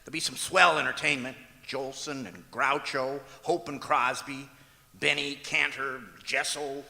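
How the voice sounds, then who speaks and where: A man playing a wry, long-suffering husband, the hesitant deadpan straight man in an old-time radio comedy on stage. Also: medium pitch, vocal fry, full character voice. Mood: dry, wry. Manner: deadpan, halting with hesitant uhs. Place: performing arts center auditorium, live stage. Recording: echoey auditorium stage hall.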